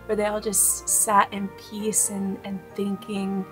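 A woman's voice: medium pitch, completely flat delivery, slow. A young woman travel vlogger chatting casually and expressively outdoors, with an enthusiastic manner. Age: young adult. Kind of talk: casual vlog chat. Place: outdoors in a hilltop town. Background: background music.

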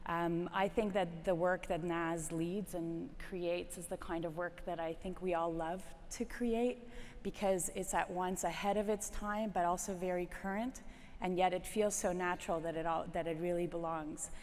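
A middle-aged woman from the Soviet Union speaking on a conference stage, pausing often with ums and uhs as she introduces her co-speaker. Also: fairly low pitch, fairly even intonation, darkish tone. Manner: hesitant, fills with many ums and uhs. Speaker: strategy lead co-presenting. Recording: hall reverb, faint ambient noise.

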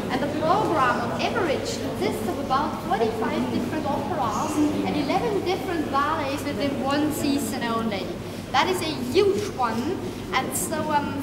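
Woman tour guide in an echoey hall. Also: sits high. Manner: loose, self-correcting explanations with many fillers. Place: large ornate hall indoors. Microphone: handheld camera held away from her. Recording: echoey hall from far off, noisy.

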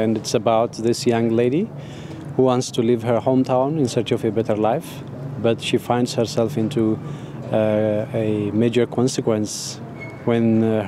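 A man in his twenties giving an interview answer as a filmmaker, haltingly searching for words. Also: medium pitch. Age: 20s. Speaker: filmmaker, former film student. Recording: noisy outdoor interview with urban ambience.

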